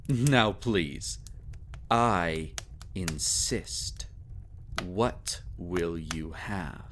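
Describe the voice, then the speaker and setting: A man's voice: mid-range voice, a little hiss or hum. A man playing a suave, sadistic vampire lord in a roleplay audio, speaking in a theatrical, courtly and teasing way with seductive menace. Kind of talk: scripted roleplay audio, intimate dramatic monologue.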